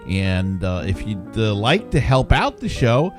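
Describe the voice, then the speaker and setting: A man's voice: lowish voice, very animated, a little slow, faint music behind. Casual male sports podcast host.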